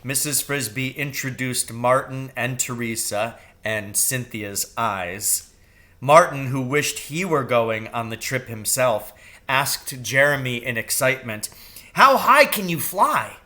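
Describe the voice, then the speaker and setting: A man reading a children's novel aloud as expressive narration, with measured and deliberate phrasing. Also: mid-range voice. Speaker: storybook reader.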